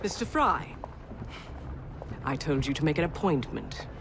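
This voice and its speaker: A woman's voice: low voice, lively intonation, a little slow, over loud music. Arch, imperious Victorian businesswoman. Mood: brisk satisfaction. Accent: English. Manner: formal, arch, self-assured. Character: shrewd, elegant, imperious female Victorian businesswoman.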